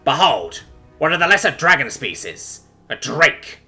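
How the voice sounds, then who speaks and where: Man acting a gruff, pompous old magistrate villain. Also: medium pitch, big pitch swings, sharp tone, soft background music. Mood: smug. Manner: haughty, boastful, deliberate. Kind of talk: audiobook character voice.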